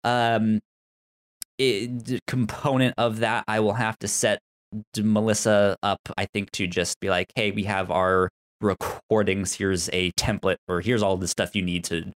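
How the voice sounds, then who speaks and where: A man co-hosting a podcast in casual chat, halting as he talks and often stammering and repeating syllables. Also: fairly low pitch, flat delivery. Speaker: podcast co-host.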